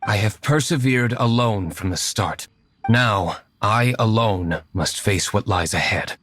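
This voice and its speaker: A man playing a composed, brooding young aristocratic hero in a video game, speaking formally and with measured words. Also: fairly low pitch, fairly bright tone, slight background noise. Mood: resolute. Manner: formal, well-spoken, measured. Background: music.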